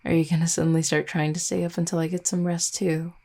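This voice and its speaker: A woman's voice: fairly low pitch, little pitch movement, fast, dark tone. A woman playing an affectionate, teasing vampire girlfriend in an intimate roleplay audio, speaking in a tender, conversational way.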